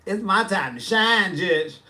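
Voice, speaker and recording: A man's voice: high-pitched, pushed and bright. Sassy man in his forties, chatting live. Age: 40s. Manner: sassy, chatty, reads and answers comments. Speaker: livestream host. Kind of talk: casual livestream chat.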